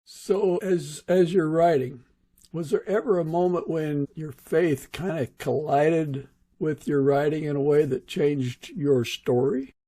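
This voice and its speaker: A man's voice: fairly high pitch, slow, mellow, no room sound. A man hosting a podcast, putting interview questions to a guest and adding short comments. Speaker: podcast host.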